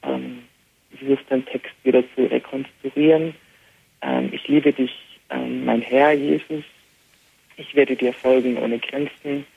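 A young man with Arabic as his first language and an Austrian German accent talks unhurriedly, padding his answers with filler sounds. His gravelly voice comes over a thin, tinny phone line. A faint hiss sits underneath. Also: medium pitch. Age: young adult. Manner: relaxed, many filler pauses. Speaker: interview guest, band musician. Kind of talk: phoned-in radio interview. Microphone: telephone.

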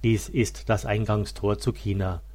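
Male narrator for a religious biography, lower-pitched voice, solemn and measured scripted read. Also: steady tone, darkish tone.

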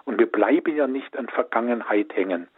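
A man's voice: medium pitch, a little fast, husky, no echo. Reassuring male counselor on a tinny line. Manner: warm, reassuring, gently emphatic. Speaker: pastoral counselor, studio guest expert. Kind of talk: advice on a call-in show. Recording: dry, tinny phone-like line.

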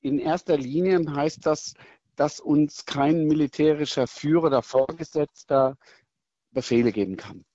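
A middle-aged man, a military chaplain, answering interview questions in a thoughtful, measured way with hesitations and restarts. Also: on the high side, dark tone, no echo, highs cut off.

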